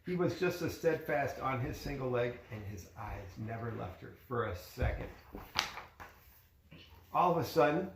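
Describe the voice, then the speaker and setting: A man's voice: on the high side, creaky, a little hiss or hum. Man reading a storybook in an echoey room. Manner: conversational, pointing out pictures to a child. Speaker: father reading a story aloud to his child. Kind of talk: storybook read-aloud with casual asides.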